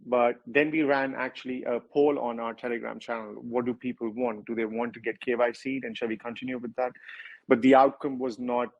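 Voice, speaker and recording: A man's voice: mid-range voice, level tone, brisk, vocal fry, muffled recording. A man with a strong Indian accent, a project founder answering questions in a livestreamed interview, talking at length and often correcting himself. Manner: rambling, self-correcting, with many filler words.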